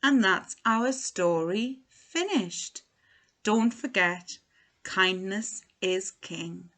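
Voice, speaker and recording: A woman reading a children's storybook as narrator, in an expressive, deliberate manner. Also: mid-range voice.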